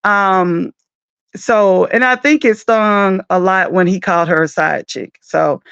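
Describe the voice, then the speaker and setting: Raspy woman chatting, dull dry sound. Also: slow pace, a bit bright, no room sound. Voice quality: raspy, deep morning voice. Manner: chatty, loose, with many fillers and asides. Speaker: commentary show host. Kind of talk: casual commentary on reality TV.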